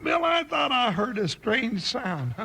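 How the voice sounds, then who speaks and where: A comic cowboy deputy with a very high-pitched, gravelly voice. He is folksy, excitable and teasing, a big jolly sidekick from old-time radio, on a noisy, clicky recording. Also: slow pace, exaggerated voice. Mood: amused, teasing. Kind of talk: 1950s radio drama acting.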